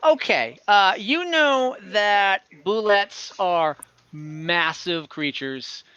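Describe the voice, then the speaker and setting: A man narrating an online tabletop game as game master, improvising in a halting way with pauses mid-sentence. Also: high voice, expressive, sharp tone, clean tone, muffled recording. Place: indoors, online voice call.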